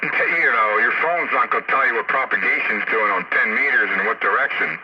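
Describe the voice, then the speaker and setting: A man's voice: mid-range voice, fairly quick. An American man chatting casually as an amateur radio operator over a radio link. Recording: dull, very noisy radio transmission. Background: heavy radio noise.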